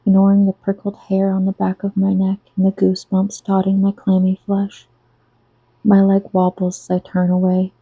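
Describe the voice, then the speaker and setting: A woman reads her own novel in a hushed, soft-spoken ASMR style, her voice deep and mellow with a husky, breathy edge. The recording is dull and lo-fi, close-miked in a dry room. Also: dry recording.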